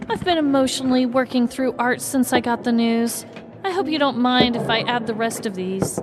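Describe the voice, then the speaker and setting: A woman's voice: on the high side, moderate pace, lightly acted. A woman playing a young, earnest and dramatic grieving niece with an artistic streak in a comedic audio story. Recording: music bed under the voice.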